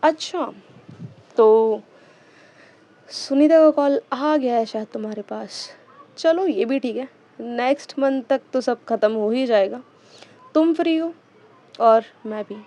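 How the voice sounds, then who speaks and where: A woman's voice: a little high, takes it slowly, long pauses. A woman voicing a cold, scornful spouse who speaks curtly and dismissively. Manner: curt and dismissive, flaring into shouting.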